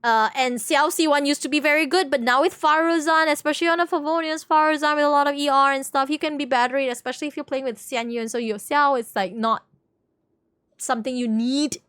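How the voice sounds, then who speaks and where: Chatty, higher-voiced woman streamer. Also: fairly even intonation, fairly quick, a bit bright, no echo, clean studio sound. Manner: chatty, rambling, slangy, repeats phrases. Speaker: game livestreamer. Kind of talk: casual stream chat with viewers.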